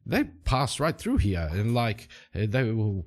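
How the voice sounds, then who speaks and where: A man voicing a friendly middle-aged Brazilian riverboat captain with a put-on, Italian-sounding Portuguese accent, eager and halting, repeating himself. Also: fairly low pitch, sing-song, darkish tone, full character voice. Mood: eager, recalling.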